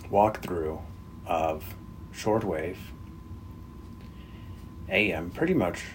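A man hosting a hobby podcast, giving an unscripted radio walkthrough in a halting way with pauses mid-sentence. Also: low voice. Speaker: podcast host. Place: bedroom at home. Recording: noisy bedroom recording with radio hiss. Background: loud radio noise.

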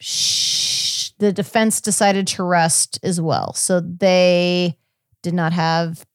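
A woman's voice: fairly low pitch, steady tone, takes it slowly, smooth, no room sound, clean studio sound. A woman podcast host chatting casually about a case, often hesitating and correcting herself as she goes. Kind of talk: casual chat recapping a court case.

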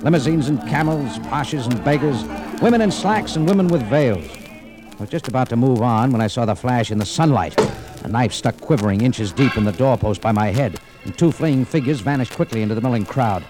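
An actor playing a tough, wry American cafe owner and amateur sleuth in a 1940s radio detective drama, speaking in a clipped, hard-boiled way. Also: male voice, medium pitch, animated, fairly quick, lightly acted. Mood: tense, narrating. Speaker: lead actor and narrator. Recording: old radio recording with music.

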